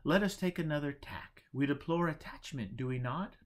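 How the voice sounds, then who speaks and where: A man voicing an imperturbable, teasing monk who teaches in deliberate, didactic pronouncements in a storybook. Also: fairly high pitch, animated, pitched above the performer's own voice, lightly acted. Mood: calmly teasing.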